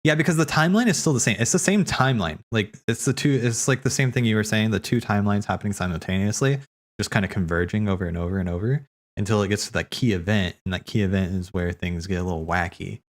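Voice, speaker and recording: Male streamer, lower register, loose off-the-cuff commentary, halting and repetitive as he works through ideas. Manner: hesitant, repeating words as he thinks aloud. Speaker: streamer hosting a watch party. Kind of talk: casual rambling commentary, replying to chat.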